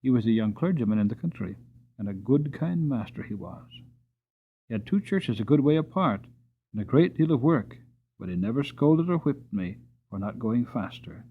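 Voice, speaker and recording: A man's voice: medium pitch, lively intonation, normal speed, husky, phone-quality sound. A man voicing a troubled, sweet-tempered mare in a storybook, explaining herself plaintively and earnestly. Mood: wistful, earnest.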